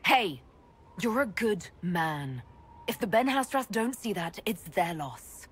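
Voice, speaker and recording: An actress playing a steady, caring female leader in a video game, speaking in a direct, firm way. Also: mid-range voice, slow, slightly rough, exaggerated voice. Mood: firm reassurance. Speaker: Inquisition leader.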